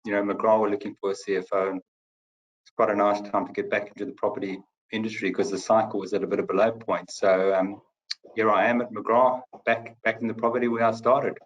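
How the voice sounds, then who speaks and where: South African man, middle-aged, low monotone voice, hesitant, dull lo-fi webinar sound. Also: fairly quick. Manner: hesitant, many fillers and restarts. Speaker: panel guest, company CFO. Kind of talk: webinar panel discussion.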